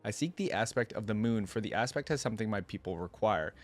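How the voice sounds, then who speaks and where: A man's voice: on the low side, lively intonation, quick pace, natural voice. A man voicing an earnest, slightly awkward female sea creature from a storybook, with formal phrasing. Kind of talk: storybook read-aloud.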